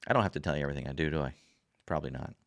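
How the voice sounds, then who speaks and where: A man hosting a casual livestream and reading viewer comments aloud, his voice raspy after a sore throat, rambling in a folksy way with many fillers. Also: a bass voice, very fast, dry recording. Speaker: livestream host. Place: home desk. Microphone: desk camera.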